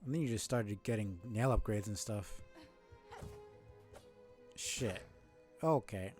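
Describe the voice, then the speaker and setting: Low-voiced man muttering over gameplay. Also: takes it slowly, darkish tone, faint music behind. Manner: casual, frustrated muttering and swearing while playing. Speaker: streamer. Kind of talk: live gameplay commentary.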